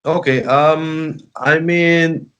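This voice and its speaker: A man's voice: a little high, fairly even intonation, drawn-out words, fairly bright tone, clear, resonant tone, lo-fi sound. A man in his twenties from Tanzania, a bilingual rapper and native Sinhalese and Swahili speaker, talking casually as an interview guest. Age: 20s.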